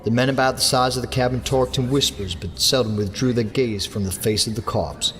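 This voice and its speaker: An English man reading a horror story aloud as an audiobook narrator, in a measured storytelling manner. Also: a little hiss or hum. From England. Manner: measured storytelling, lifts his pitch for characters' lines. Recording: long hall reverb with soft music under.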